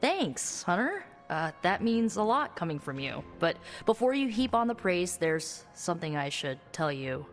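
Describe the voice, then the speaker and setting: An actress playing a quick-witted, sardonic young sorceress in a video game, talking in a casual, halting, anxious way. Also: female voice, fairly low pitch, big pitch swings, in a large hall, soft background music. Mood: hesitant, confessing. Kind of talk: video game cutscene dialogue.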